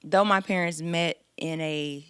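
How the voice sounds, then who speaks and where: A woman singer, a guest on a podcast, talking in a soft, thoughtful and reflective way with a light, sweet voice. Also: deep voice, fairly even intonation, measured pace, clean tone, no echo, clean studio sound. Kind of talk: casual podcast chat.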